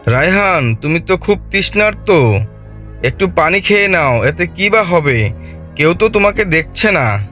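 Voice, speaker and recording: A man's voice: high voice, animated, a little slow. A man voicing a sly devil in a cartoon, coaxing and persuasive as he tempts. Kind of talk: cartoon voice acting. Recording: background music over the voice.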